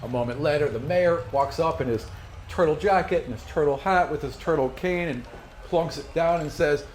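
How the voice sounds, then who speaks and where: A man running a tabletop game as game master, improvising narration in an animated, jokey way and acting out reactions. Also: on the high side, normal speed. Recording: echoey room, music behind.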